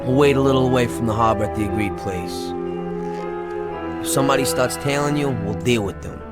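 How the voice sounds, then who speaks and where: An actor playing an excitable, scheming small-time American gangster in a video game, talking in streetwise slang. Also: male voice, mid-range voice, fairly quick, loud music behind the voice, close to an everyday voice. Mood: businesslike. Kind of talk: video game cutscene acting.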